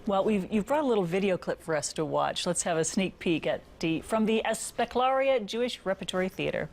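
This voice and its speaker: A woman's voice: mid-range voice, lively intonation, brisk. A woman hosting a TV talk show, interviewing a guest in an upbeat, chatty manner.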